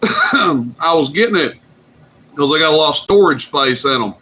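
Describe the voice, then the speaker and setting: A man hosting a CB radio night, chatting casually and telling drawn-out stories. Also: fairly high pitch, very animated, sharp tone, a little hiss or hum. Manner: drawling, easygoing storyteller. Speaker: CB radio host.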